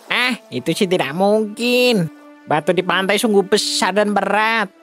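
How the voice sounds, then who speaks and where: A man's voice: high-pitched, a little slow, fairly bright tone, soft background music. A man playing a sceptical villager in a children's folktale drama. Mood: doubtful. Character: doubtful villager in a folktale crowd.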